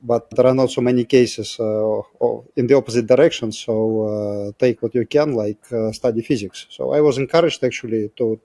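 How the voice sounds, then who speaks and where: A man's voice: medium pitch, mellow, slightly rough. A middle-aged man with a Russian accent, explaining things as an interview guest in a reflective, discursive way. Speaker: interview guest, physicist turned biotech scientist.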